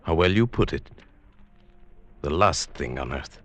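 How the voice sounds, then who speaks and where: An actor playing a henpecked, mild older professor in an old-time radio drama, with a hesitant, formal, polite manner. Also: male voice, rumbling low, expressive, a little slow, dry recording. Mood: musing, dry.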